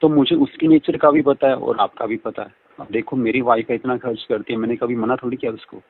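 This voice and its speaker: A man's voice: mid-range voice, fairly even intonation, fairly quick, husky. Tinny phone audio, man, mid-range voice, talkative, effusive, repeats himself, flirty call. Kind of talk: flirtatious phone conversation in Hindi mixed with English.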